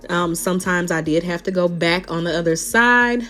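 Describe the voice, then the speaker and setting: A woman, deep and a little raspy after a sinus infection, chatting through a nail tutorial voice-over and talking straight to her viewers. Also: moderate pace, a bit bright. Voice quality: naturally deep voice. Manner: chatty, rambling, addresses viewers directly. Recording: faint background music.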